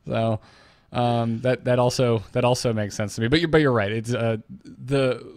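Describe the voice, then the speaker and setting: A man co-hosting a gaming podcast in casual chat, wry and a bit halting. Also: mid-range voice, a little mellow, no echo. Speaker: podcast co-host. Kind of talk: casual chat about video game lore.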